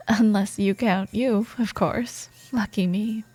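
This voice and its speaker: A woman playing a proud, teasing yet wistful rival coworker in an intimate ASMR romance roleplay monologue, with playful banter, sighs and soft pauses. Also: medium pitch, steady tone, slightly rough, dry recording, soft background music. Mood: teasing, softening. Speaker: audio roleplay performer.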